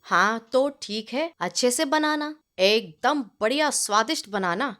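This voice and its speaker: A woman voicing a demanding, food-obsessed male village landlord in a storybook tale, bossy and informal, quick to anger. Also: fairly high pitch, animated, sharp tone, voice pushed down. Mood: expectant. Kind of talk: storybook character dialogue.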